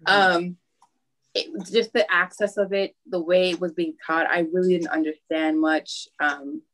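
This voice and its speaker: Candid woman teacher, lowish voice. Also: level tone, a little slow, low-quality recording. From Harlem, New York. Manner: candid, with hesitant fillers and soft laughs. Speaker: guest math teacher. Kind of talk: casual interview answers.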